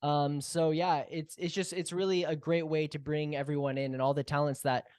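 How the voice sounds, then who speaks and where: A man with a slightly high voice talks as a guest on a podcast. His manner is humble and earnest, in casual conversation. The sound is dry, with little room to it. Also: level tone, moderate pace, darkish tone, smooth, dry recording. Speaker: podcast guest, audio drama co-creator.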